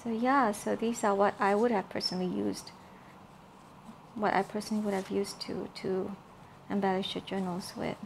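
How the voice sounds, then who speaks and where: A soft-spoken woman with a gravelly, creaky texture to her voice walks through her craft kits in a casual show-and-tell. She talks at an easy middle pitch, stopping often for fillers and restarts. A faint hiss sits under the recording. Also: fairly even intonation, moderate pace. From Malaysia. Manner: soft-spoken, many fillers and repeated words. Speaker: craft journal maker showing her kits.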